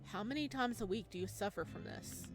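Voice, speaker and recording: A woman voicing a calm, probing male therapist in a visual novel, measured and clinical, with a quietly ominous temper. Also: mid-range voice, close to an everyday voice. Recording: music playing behind the voice.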